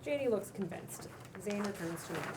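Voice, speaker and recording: A woman's voice: medium pitch, lively intonation, fairly quick, far from the mic. A woman reading scripted stage directions aloud as narrator at a table read. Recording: noisy room recording.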